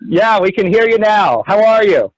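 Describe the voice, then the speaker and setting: A man's voice: high voice, lively intonation, moderate pace, crowd noise. A young man from the Cincinnati area, a guest in an interview, telling his story in a reflective, rambling way and correcting himself as he goes. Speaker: guest, orchestra assistant conductor. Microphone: video call. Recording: dull, dry video-call audio.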